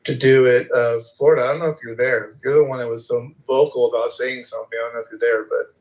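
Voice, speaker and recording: A man's voice: medium pitch, flat delivery, fast talker, darkish tone, low-quality recording. Rambling man hosting an audio room. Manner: rambling, loose, many fillers. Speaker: room host and moderator. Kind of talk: casual live audio chat.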